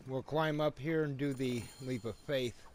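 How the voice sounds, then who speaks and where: A man's voice: medium pitch, unhurried. A man narrating a video game walkthrough in a casual commentary style. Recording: faint noise behind the voice.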